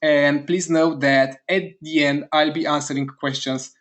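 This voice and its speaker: A man from Romania hosting a live online sales webinar, upbeat and eager, talking straight to his chat audience and repeating words in quick bursts of praise. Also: fairly high pitch, little pitch movement, fairly bright tone. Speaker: webinar host.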